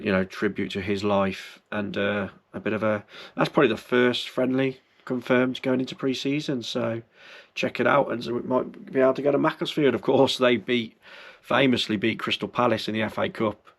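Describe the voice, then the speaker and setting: Croaky guy rambling thru football news, lowish voice, keeps correcting himself. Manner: chatty, rambling, self-correcting. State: slightly croaky, under the weather. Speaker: football fan channel host. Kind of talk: casual fan news chat.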